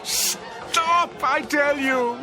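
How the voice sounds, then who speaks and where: An actor playing a bewildered, anguished older man in a radio drama, with a halting, pleading, theatrical delivery. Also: very high voice, exaggerated voice. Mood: agitated, desperate. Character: anguished, bewildered older gentleman lost among his past. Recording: music and crowd chatter behind.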